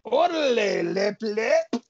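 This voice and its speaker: A man voicing a dim, excitable tough-guy sidekick in a comedy story, with goofy exclamations and silly slang. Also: sits high, takes it slowly, lo-fi sound, a little hiss or hum, pitched above the performer's own voice, full character voice. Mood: admiring.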